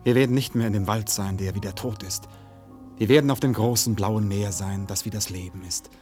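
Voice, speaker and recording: A man acting an ardent young warrior in love, in a literary radio drama. His low voice is solemn and poetic, declaiming lyrically with music behind it. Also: brisk, darkish tone, natural voice.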